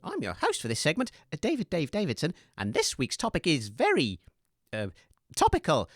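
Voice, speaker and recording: A woman's voice: low voice, wildly swooping pitch, moderate pace, no room sound, studio quality, heavily acted. A woman playing a showy, eager debate host, announcing theatrically in a comedy audio show. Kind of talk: comedy audio sketch hosting.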